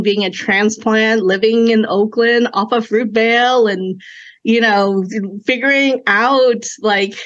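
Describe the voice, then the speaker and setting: A woman in her thirties, Cantonese her first language, talks through her path as a podcast guest. She pauses often, circling her thoughts with um and you know. The sound is slightly dull and lo-fi. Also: medium pitch, fairly bright tone. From China. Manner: reflective, many fillers and restarts. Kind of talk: conversational interview.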